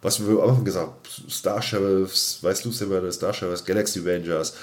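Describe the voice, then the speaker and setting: A man's voice: deep voice, fairly even intonation, a little fast. A middle-aged man co-hosting a podcast in loose, casual conversation, repeating words as he thinks aloud. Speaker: podcast co-host. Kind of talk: casual unscripted chat.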